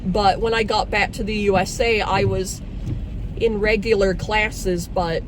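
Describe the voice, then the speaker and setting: A woman's voice: medium pitch, fairly even intonation, a little slow. A young woman from Scotland telling her own story in a casual vlog, hesitant, with many "um"s and restarts, speaking into a phone while in a car in traffic. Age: young adult. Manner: hesitant, frequent "um" and "basically", self-correcting. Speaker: vlogger. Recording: loud road and traffic noise in a car. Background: vehicle and traffic noise.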